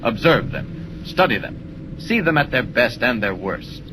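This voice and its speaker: A calm, noble superhero from a vanished world, grave and measured. The voice rises and falls with wide swings. This comes from a noisy old 1940s radio drama. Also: male voice, medium pitch. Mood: earnest.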